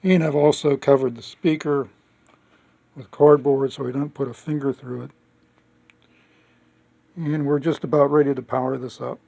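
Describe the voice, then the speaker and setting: A man giving a casual step-by-step demonstration as a hobbyist, speaking haltingly with pauses as he works. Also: medium pitch, fairly even intonation, creaky, mellow. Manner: halting, pausing mid-sentence as he works. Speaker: hobbyist restoring a vintage radio.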